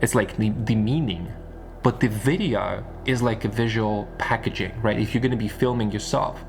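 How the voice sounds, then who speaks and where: A man's voice: on the low side, normal speed, gravelly, soft background music. A man giving a casual explanatory monologue like an online coach, repeating points for emphasis and often checking for agreement. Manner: emphatic and repetitive, often seeking agreement with tag questions. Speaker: YouTube business and spirituality coach.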